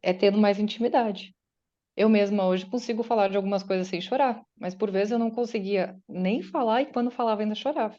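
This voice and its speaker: Woman, guest speaker at an online faith meeting: chatty, voices her listeners' doubts, mellow tone, dull call sound. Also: mid-range voice, fairly quick. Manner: conversational, acts out listeners' doubts in quotes. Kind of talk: faith-based motivational talk. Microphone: video call.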